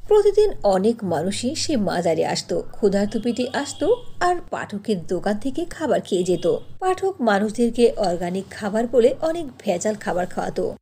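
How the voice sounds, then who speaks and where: A woman narrating a cartoon story in an expressive storytelling manner. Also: medium pitch, normal speed, slightly rough.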